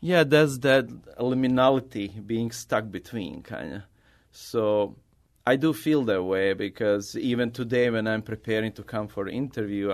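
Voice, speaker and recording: A middle-aged man from Bosnia with a Bosnian accent, a native Bosnian speaker answering interview questions as an artist in halting, non-native English. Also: fairly low pitch. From Bosnia, former Yugoslavia. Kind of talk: conversational interview answers, personal storytelling.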